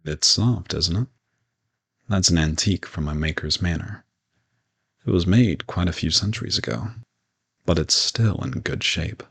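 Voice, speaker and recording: A low-pitched, gravelly modern vampire, played by a man, speaks in a roleplay audio drama. He is polite and reassuring, explaining things in a gentle, conversational, matter-of-fact way. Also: measured pace, long pauses, heavily acted. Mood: calm, reassuring.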